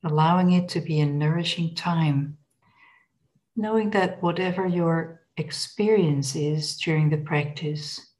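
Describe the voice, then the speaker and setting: A woman leading a guided body scan meditation in a gentle, unhurried, instructional manner. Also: low voice, poor audio.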